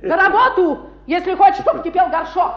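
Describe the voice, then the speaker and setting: Old radio drama: shrill scolding housewife, sky-high pitch, aggrieved and relentless, muffled lo-fi recording. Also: female voice, expressive, fairly quick. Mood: indignant. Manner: scolding in rhymed, piling-up complaints. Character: shrill nagging hard-working middle-aged wife, scolding and aggrieved. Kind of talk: theatrical radio play tirade. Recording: dull lo-fi 1960s radio recording.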